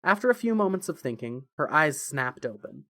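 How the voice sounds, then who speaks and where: A man's voice: a little high, very animated, fairly quick, fairly bright tone, no echo. A man reading scripted story narration for an audio fan-fiction drama.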